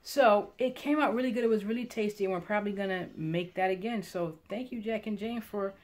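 A woman's voice: medium pitch, a little fast. A woman in her thirties chatting playfully in a home vlog, filmed on a phone camera at arm's length on a staircase. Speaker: family vlogger. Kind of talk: casual home vlog chat.

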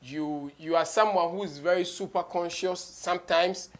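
A man's voice: high-pitched, normal speed. A young man with a Ghanaian accent hosting a casual interview, moving between two languages as he talks. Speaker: interview host. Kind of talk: casual interview, mixing English and Twi. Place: small indoor radio studio.

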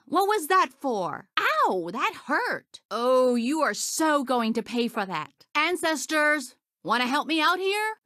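A plucky young heroine of a video game, a warrior with a good heart. Her voice sits fairly high and swings widely in pitch as she delivers short, earnest lines. The sound is dry and close, with no room on it. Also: dry recording, heavily acted. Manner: earnest, expressive, clearly enunciated short lines. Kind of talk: video game character voice clips.

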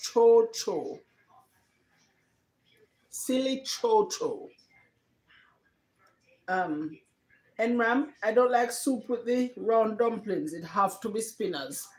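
A woman's voice: on the high side, a little slow. A middle-aged woman with a Jamaican accent casually hosting a live stream and reading out viewers' comments. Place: living room, indoors. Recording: roomy echo of a living room.

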